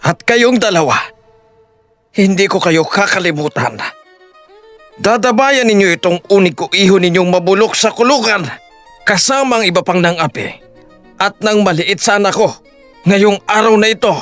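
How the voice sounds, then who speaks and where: A man's voice: high voice, normal speed, long pauses, fairly bright tone, soft background music. A man playing a stern, wealthy old father in a Filipino audio drama, controlled, menacing and protective. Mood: vengeful menace.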